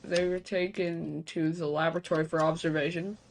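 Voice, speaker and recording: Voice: medium pitch, flat delivery, fairly quick, a little hiss or hum, rough recording. A reaction video host reading on-screen text aloud and breaking into casual, speculative asides. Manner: casual asides between read-out passages.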